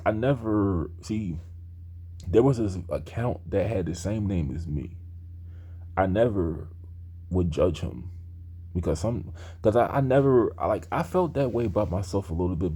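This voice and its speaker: A man's voice: low-pitched, mellow, slightly rough. A man in his thirties talking in a rambling personal monologue, earnest and hesitant, with many restarts. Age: 30s. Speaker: aspiring screenwriter talking to camera.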